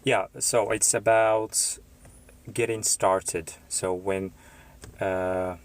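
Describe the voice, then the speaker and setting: A man from Morocco, an English tutor chatting live with his viewers, unhurried and pausing often with fillers. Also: fairly low pitch, level tone. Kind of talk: live stream chat with viewers.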